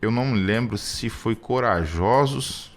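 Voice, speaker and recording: A Brazilian man in his thirties giving personal testimony in conversational talk, reflective and halting, often repeating words as he goes. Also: lowish voice, soft background music. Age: 30s. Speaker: radio show co-host.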